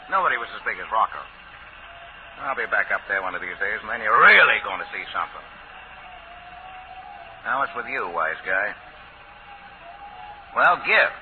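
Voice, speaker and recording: An actor playing a swaggering, menacing American gangster boss in a 1940s radio drama, boastful and bullying in slangy tough talk. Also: male voice, mid-range voice. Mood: taunting. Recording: old lo-fi radio broadcast, faint hiss.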